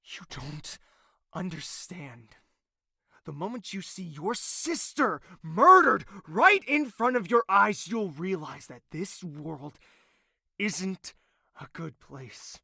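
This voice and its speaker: A man acting an embittered, proud crown prince who calls himself a villain in a fantasy audio drama, theatrical and sardonic, swinging from hushed to explosive. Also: high-pitched, very animated, slow pace, creaky, breathy edge, no echo, studio quality, exaggerated voice. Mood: bitter, hurt.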